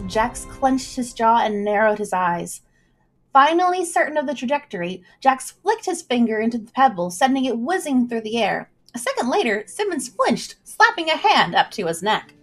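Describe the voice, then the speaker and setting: A woman storyteller reading a scripted adventure tale in an expressive, suspenseful manner, with music behind her. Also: on the high side, breathy edge, low-quality recording. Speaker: storyteller, livestream host. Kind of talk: dramatic scripted story narration.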